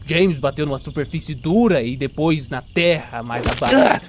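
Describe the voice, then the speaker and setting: A man's voice: a little high, lively intonation, moderate pace, heavy background noise. A man with a Brazilian accent narrating a dramatic story in suspenseful, short clipped phrases. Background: music.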